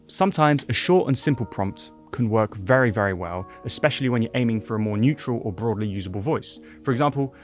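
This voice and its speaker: A man giving clear, brisk instructions as a software tutorial host. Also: medium pitch, expressive, faint music behind. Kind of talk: scripted tutorial narration.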